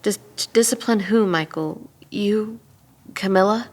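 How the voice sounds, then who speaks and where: An actress playing a steady female police detective who questions a suspect directly in an audio drama table read. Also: mid-range voice, measured pace, natural voice. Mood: probing, concerned. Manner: probing, direct.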